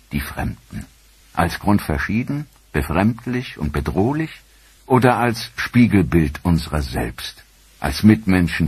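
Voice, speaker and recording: A man giving measured, earnest scripted narration for a history documentary. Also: low voice, husky, dry recording. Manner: measured, earnest storytelling delivery.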